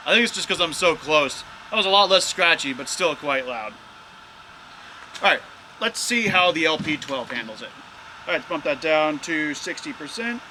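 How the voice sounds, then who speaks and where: A man's voice: a little high, expressive, normal speed, sharp tone, a little hiss or hum, poor audio. A man hosting a casual, hands-on product test of drone gear as a reviewer.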